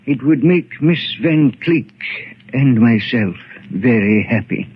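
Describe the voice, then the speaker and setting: A husky-voiced old gentleman, courtly, hesitant and devoted to a bygone age. The style is 1940s radio acting, and the recording is dull. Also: medium pitch, expressive, slow pace. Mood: cordial. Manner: formal, hesitant, polite. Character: courtly old gentleman host living in the past.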